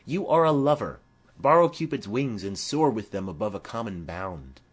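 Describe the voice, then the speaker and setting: Man playing Mercutio, a boisterous, theatrical young gentleman. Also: on the low side, animated, normal speed, husky. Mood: teasing, bold. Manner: theatrical, declamatory. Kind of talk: dramatic Shakespeare audiobook reading.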